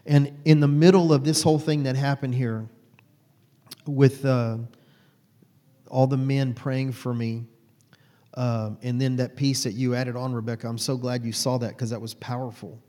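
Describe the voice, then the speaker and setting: A man's voice: medium pitch, flat delivery, moderate pace, warm tone. A man in his forties giving an informal, rambling sermon to a small group, often stopping to correct himself. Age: 40s. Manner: rambling, self-correcting, many fillers. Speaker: lay preacher. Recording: long hall reverb.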